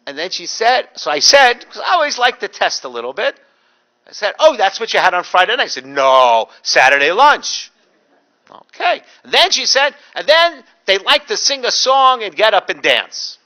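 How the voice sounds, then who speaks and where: A man's voice: sits high, normal speed. A middle-aged man, a rabbi giving a public talk, telling stories with dry humor and mimicking the people in them. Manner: animated, mimics voices in anecdotes. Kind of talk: storytelling lecture with dry humor.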